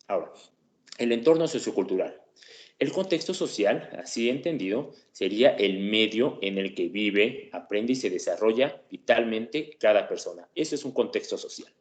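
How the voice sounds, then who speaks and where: A man's voice: fairly low pitch, animated, moderate pace, roomy sound. A man explaining an education topic in a lecture, talking casually and checking in with tag questions. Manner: conversational, checks in with frequent tag questions and fillers. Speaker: teacher presenting a class topic.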